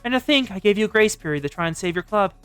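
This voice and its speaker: A woman's voice: mid-range voice, lively intonation, racing, clear, resonant tone, faint music behind, close to an everyday voice. A woman voicing a stern, exasperated student council girl from a game, with a formal, reproachful manner. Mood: scolding.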